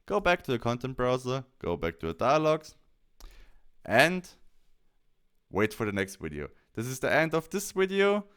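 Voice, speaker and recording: A man presenting a software tutorial as a voice-over, explaining things step by step in plain, short instructional phrases. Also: mid-range voice, sing-song, normal speed, pauses often, smooth.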